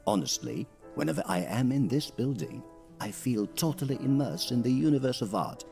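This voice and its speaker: Actor as courteous, gravelly-voiced gentleman painter. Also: mid-range voice, animated, moderate pace, soft background music. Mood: earnest, rapturous. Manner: formal, polite, effusive. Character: courteous, passionate male painter and art lecturer. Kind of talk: period audio drama acting.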